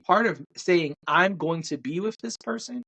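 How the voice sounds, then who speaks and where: A young adult man from Brooklyn, New York, co-hosting a podcast and talking at length in an animated, emphatic way. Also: high voice, normal speed, creaky, husky. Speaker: podcast co-host. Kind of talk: casual chat.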